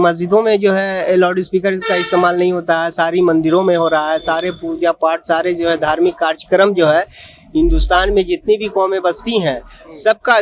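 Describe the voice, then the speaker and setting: A man giving his opinion in an impromptu interview, emphatic and repetitive, leaning on a filler phrase. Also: high voice. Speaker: member of the public being interviewed.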